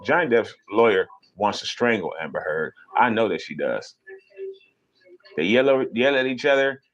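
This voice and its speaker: A man ranting on a casual live stream, animated and profane, mocking people with sudden high mimicking voices. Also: normal speed, highs cut off.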